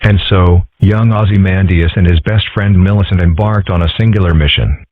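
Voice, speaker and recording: A man's voice: sits low, normal speed, no echo. A man voicing a grandiose storybook narrator in a comic dub, with pompous, epic phrasing. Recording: dry, tinny phone-like recording.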